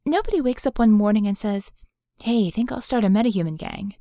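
A woman voicing an earnest, curious and skeptical female mage in an audiobook, speaking in a halting, candid, conversational way. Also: mid-range voice, animated, warm tone, no room sound, studio quality, voice pushed up, close to an everyday voice. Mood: gentle, curious.

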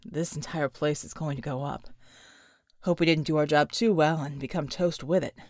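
Woman putting on a low, gruff, weary male soldier. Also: steady tone, moderate pace, darkish tone, no room sound, studio quality, close to an everyday voice. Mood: grim, tense.

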